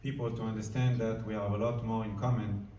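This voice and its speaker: A man's voice: fairly low pitch, flat delivery, a little fast, dark tone, muffled recording, a little hiss or hum. A man, a diplomat giving an earnest, informal speech to a live audience in a large community hall. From France. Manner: earnest, informal, searching for words. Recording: roomy hall, mic at a distance.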